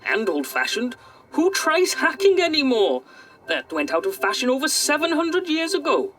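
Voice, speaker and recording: A man's voice: very high-pitched, huge pitch swings, moderate pace, fairly bright tone, heavily acted. A man playing a pedantic, senile Welsh robot AI in a comic sci-fi audio drama. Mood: matter-of-fact, disdainful. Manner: pedantic, wordy, over-precise with figures. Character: fussy, senile male robot AI running galactic control centres.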